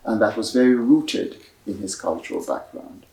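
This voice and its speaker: A man answers audience questions at a library book talk in a lowish, measured voice. He pauses often and fills gaps with small hesitations. The sound is echoey and a little distant from the mic. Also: animated, vocal fry, a little mellow. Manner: measured, explanatory, with frequent pauses and fillers. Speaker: author and speaker at a book talk. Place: library event room.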